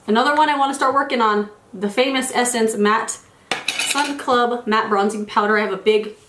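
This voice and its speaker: A woman's voice: fairly high pitch, reverberant, noisy background. A woman in her forties chatting casually while doing her makeup, a little congested after a cold, rambling and restarting her sentences. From United States. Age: 40s. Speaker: beauty vlogger. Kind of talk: casual get-ready-with-me chat.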